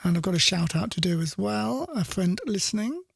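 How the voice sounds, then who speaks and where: A man's voice: high voice, lively intonation, fairly quick, creaky, breathy edge, no room sound, clean studio sound. A middle-aged man presenting a radio show in casual, rambling live chat, often repeating his phrases.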